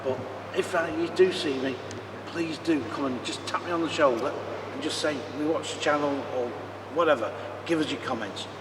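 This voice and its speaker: A British man with a croaky voice from a cold, chatting casually with lots of ums while filming a walk-and-talk vlog in an exhibition hall foyer. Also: fairly high pitch, normal speed, background noise. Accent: British English. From United Kingdom. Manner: chatty, rambling, with many ums. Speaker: vlogger.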